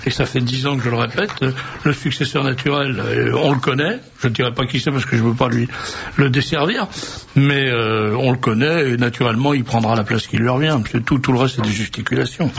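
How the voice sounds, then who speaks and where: A man's voice: medium pitch, a little fast, creaky. A man hosting a talk radio show, chatting wryly and drifting off on asides. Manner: wry, digressive, many fillers. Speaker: radio host. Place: radio studio. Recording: dull lo-fi radio recording with faint hiss.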